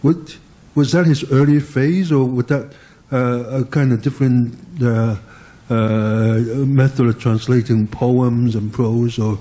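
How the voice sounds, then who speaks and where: An older man speaks up from the audience with a long question. He pauses and hunts for each word, and his voice stays warm and mellow throughout. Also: mid-range voice, fairly even intonation. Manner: halting, searching for words. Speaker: audience member asking a question. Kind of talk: question from the floor.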